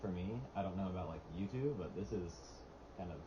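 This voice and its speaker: Deep-voiced teenage boy, rambling. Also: brisk. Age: teens. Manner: rambling, with restarts and "like". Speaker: podcast co-host. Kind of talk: casual chat. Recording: dull lo-fi mic with steady hiss.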